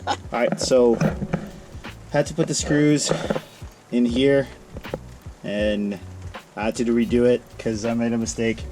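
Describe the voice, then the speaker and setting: A man's voice: medium pitch, steady tone, takes it slowly, pauses often, mellow, soft background music. A man hosting a casual vlog in jokey, slangy bro talk. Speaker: vlog host filming a truck build.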